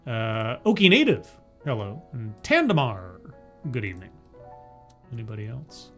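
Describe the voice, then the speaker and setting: A man streaming live, mocking a talk he is watching with deadpan, stammering asides and greeting his chat. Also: mid-range voice, moderate pace, faint music behind. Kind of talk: sarcastic live commentary.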